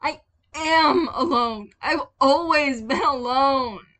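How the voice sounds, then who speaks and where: A woman voicing a lonely, grieving young boy, desperate and loud with emotion, in a story reading. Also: takes it slowly, full character voice. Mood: angry, lonely. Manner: loud, high-pitched emotional outbursts, pleading.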